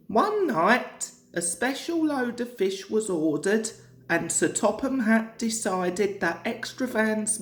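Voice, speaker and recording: A woman reading a children's story aloud in a measured, deliberate way, as a scripted storybook narration. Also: mid-range voice. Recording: echoey room.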